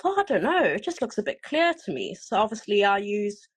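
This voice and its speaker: A woman's voice: mid-range voice, big pitch swings, fairly quick, breathy edge. A woman with a South African twang, a guest artist chatting casually on a podcast, rambling with fillers and restarts.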